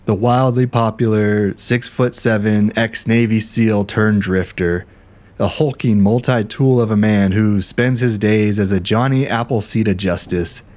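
An actor playing an aggrieved, self-dramatizing ghostwriter in a conversational, wry, indignant audio drama monologue. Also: male voice, fairly low pitch, steady tone, a little slow, darkish tone, close to an everyday voice. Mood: grudging, wry. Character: aggrieved, self-dramatizing male ghostwriter telling his grievances. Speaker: narrator and protagonist.

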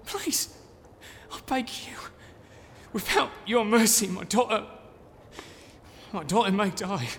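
An actor playing a humble peasant farmer, stammering and deferential, pleading in a medieval audio drama. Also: male voice, high voice, slow, pauses often, a bit bright, a little hiss or hum, full character voice. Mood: desperate, pleading. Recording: long hall reverb, music underneath.

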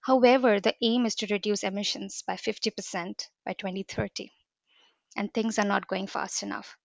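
A woman's voice: mid-range voice, steady tone, moderate pace, creaky, no room sound, lo-fi sound. A woman from India, answering interview questions in a fluent, earnest, explanatory way. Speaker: guest, business developer. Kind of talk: podcast interview.

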